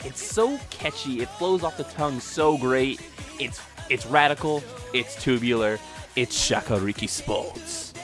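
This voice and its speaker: A man's voice: medium pitch, measured pace, music in the background. A man giving casual, gushing commentary as a guest on a video.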